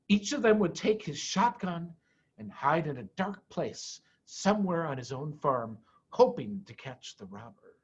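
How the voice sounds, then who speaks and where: A man giving an expressive, dramatic reading of a children's story, with deliberate phrasing. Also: sits high, husky, highs cut off. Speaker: head of school reading to pupils.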